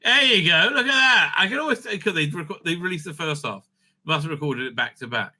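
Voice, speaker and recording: A man giving unscripted, profane, opinionated commentary as a video host, stammering and repeating himself in an excitable way. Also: fairly high pitch, a little fast.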